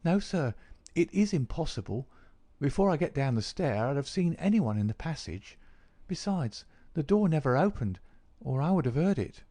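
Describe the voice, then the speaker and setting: A man's voice: on the high side, big pitch swings, normal speed, mellow, no room sound, voice pushed up. A man voicing a respectful young maid in an audiobook, answering in an emphatic, deferential manner. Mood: earnest, insistent.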